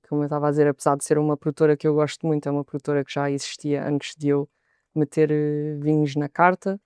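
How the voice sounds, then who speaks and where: A young woman with a European Portuguese accent talks as a sommelier in a podcast interview. Her voice is smooth and clear, her pitch stays fairly level, and she often doubles a word before moving on. The sound is dry and close. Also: a little mellow, no echo. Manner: thoughtful, repeats words as she gathers her thoughts.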